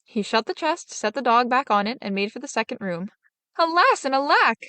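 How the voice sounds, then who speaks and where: Woman storyteller, expressive read-aloud, dramatic, lively intonation, dry sound. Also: a little high, fairly quick, dry recording. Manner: dramatic, animated.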